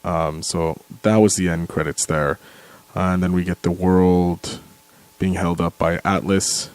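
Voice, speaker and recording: A man giving off-the-cuff film commentary, describing what he watches step by step in a halting way. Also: low-pitched, fairly even intonation, a little mellow, no echo, rough recording. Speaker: commentary contributor.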